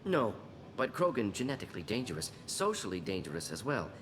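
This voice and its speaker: A man playing a brisk, analytical alien scientist in a game, speaking in clipped, telegraphic phrases. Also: fairly low pitch, very animated. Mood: dismissive, wary. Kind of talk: game character acting. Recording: reverberant hall, clear background noise.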